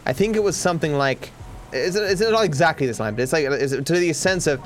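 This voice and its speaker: A man's voice: a little high, lively intonation, brisk, faint music behind. A tired man from Australia with a cultivated Australian accent, musing as he answers viewer questions in a casual ramble, trailing off with fillers and restarts.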